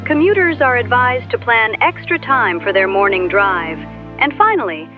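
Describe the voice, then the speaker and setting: An actress playing an upbeat small-town local radio news anchor, with an American accent and polished chirpy delivery. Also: female voice, on the high side, expressive, normal speed, natural voice. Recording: dull radio broadcast, music behind.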